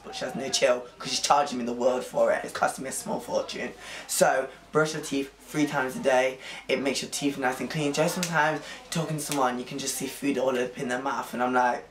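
A hoarse young man from England with a Nottingham accent, chatting playfully to camera in his room. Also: medium pitch, echoey room. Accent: Nottingham English. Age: young adult. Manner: chatty, animated, playful slang. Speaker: vlogger. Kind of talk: casual chat to camera. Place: bedroom at home, indoors.

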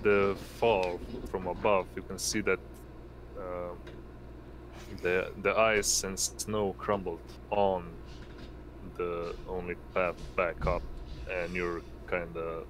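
Slow, halting low-voiced man. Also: flat delivery, fairly bright tone. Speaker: game master. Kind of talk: tabletop game narration. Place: indoor online voice session. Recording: noisy home recording, constant background hum.

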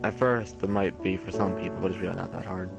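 A young man with a fairly low voice chats loosely while he plays a game, rambling and laughing at himself often. His talk runs over music playing behind him, and he sounds like he is speaking into a headset or desk mic. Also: fairly even intonation, quick pace, vocal fry, muffled recording. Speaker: streamer. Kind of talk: casual gaming chat.

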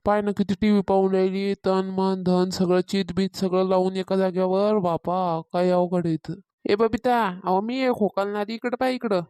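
A woman's voice: medium pitch, little pitch movement, normal speed, warm tone, clean tone, dry recording, lightly acted. A woman voicing a meddling, insistent older village gossip in a cartoon comedy, with a rural Maharashtrian accent. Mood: nosy. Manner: insistent, tattling. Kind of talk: Marathi cartoon comedy dialogue.

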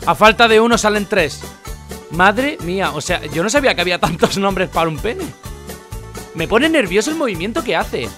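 High-pitched young Spanish man, animated. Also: moderate pace, over music. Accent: Spanish (Spain). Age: 20s. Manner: animated, jokey, repeats words for effect. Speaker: YouTuber host. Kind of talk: casual reaction video commentary. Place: small bedroom, indoors. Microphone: desk condenser microphone, close.

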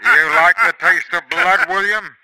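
An actor playing a stern, menacing older father and highwayman in a 1950s radio drama. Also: unhurried, sharp tone, no echo, heavily acted, poor audio. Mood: fatherly. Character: gruff older father, coin-clipping highwayman. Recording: vintage radio broadcast recording.

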